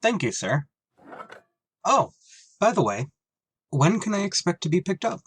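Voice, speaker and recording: A man's voice: sits high, sing-song, measured pace, dry recording, clean studio sound, heavily acted. A man acting a wry, duty-bound shuttle pilot in a scripted retro radio drama. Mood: wry, dutiful. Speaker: actor and first-person narrator in an audio drama. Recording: clear background noise.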